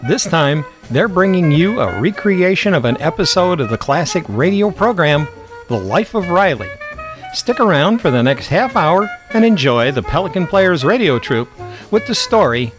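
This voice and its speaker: A man's voice: on the high side, big pitch swings, normal speed, music in the background. A man working as a radio host, reading a scripted programme introduction.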